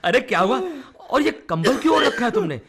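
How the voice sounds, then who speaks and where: An actor playing a chatty, jovial, inquisitive friend in a radio play. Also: male voice, very high voice, brisk, breathy edge, full character voice. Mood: amused, curious. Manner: lively, inquisitive. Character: chatty, jovial male friend, curious and teasing. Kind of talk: Hindi radio play acting.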